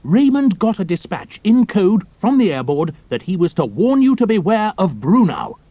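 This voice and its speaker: An actor playing an eager young British airman in an audio drama, with a chatty, colloquial, animated manner. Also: high-pitched, normal speed, dry recording. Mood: breezy, informative.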